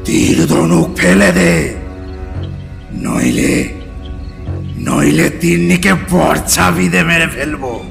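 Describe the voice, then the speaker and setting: A man playing a brutish, cunning rival caveman in an audio drama, threatening and commanding in a gravelly growl. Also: fairly high pitch, sing-song, slow pace, long pauses, faint music behind, exaggerated voice. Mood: menacing. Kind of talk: dramatized audio story acting.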